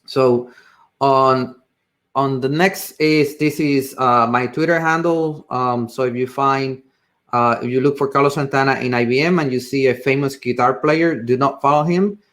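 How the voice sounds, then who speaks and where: A man with a Puerto Rican accent, a native Spanish speaker, gives an informal technical presentation in halting, hesitant phrases. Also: medium pitch, fairly bright tone. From Puerto Rico. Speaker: tech presenter.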